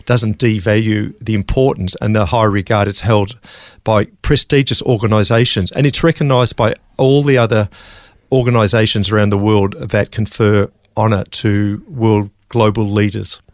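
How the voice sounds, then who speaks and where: Older Australian man, low dry voice. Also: normal speed, no echo. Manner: earnest, steady storytelling with some restarts. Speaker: interview guest, scientist. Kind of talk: personal testimony in conversation.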